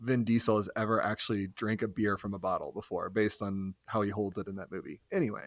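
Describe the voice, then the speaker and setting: A man's voice: fairly low pitch, steady tone, fast, no room sound, clean studio sound. A man in his late thirties, a guest on a podcast, chatting casually in a wry, joking way and often saying "yeah". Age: 30s. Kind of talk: casual conversational podcast chat.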